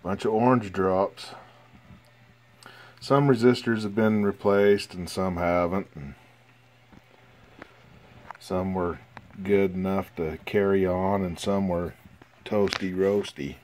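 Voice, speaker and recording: A man giving a casual, halting, low-key progress report on a repair, recorded on a phone at a workbench. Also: fairly even intonation, darkish tone. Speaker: hobbyist radio restorer. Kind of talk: casual narrated progress video. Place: indoors at an electronics workbench. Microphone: handheld phone.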